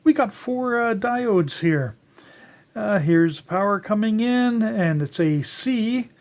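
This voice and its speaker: Older hobbyist presenter, slow pace, halting, casual hands-on narration, thinking out loud. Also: medium pitch, expressive. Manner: halting, musing aloud while working with hands. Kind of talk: casual unscripted teardown narration. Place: indoors at a workbench.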